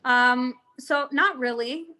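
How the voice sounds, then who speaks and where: Young woman, American accent, high and bright, chatty tour guide on a video call with lots of fillers. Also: takes it slowly. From Israel. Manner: chatty, hesitant, full of fillers and restarts. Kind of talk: live virtual tour with audience questions.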